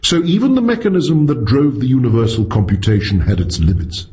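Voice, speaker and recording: A man narrating a science fiction story as a scripted audiobook, with an expressive storytelling delivery. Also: mid-range voice, brisk.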